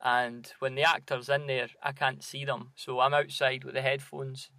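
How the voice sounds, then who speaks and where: A man's voice: mid-range voice, flat delivery, normal speed, gravelly, sharp tone, no echo. Male podcast host, dry close sound. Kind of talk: casual chat.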